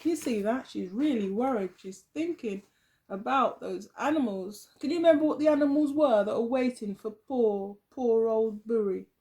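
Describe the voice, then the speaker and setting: A woman's voice: a little high, moderate pace, mellow. A woman teacher telling a story expressively to young children, phrasing carefully and putting questions to them. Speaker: early years teacher, storyteller.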